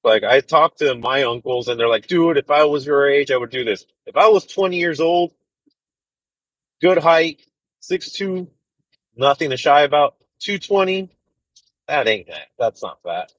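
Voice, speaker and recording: A man in his thirties chatting casually with viewers on a livestream, rambling and relaxed with lots of fillers. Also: on the high side, a little slow, no room sound, muffled recording. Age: 30s. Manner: laid-back, rambling, full of fillers and "dude". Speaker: truck driver livestreamer. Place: inside a truck cab.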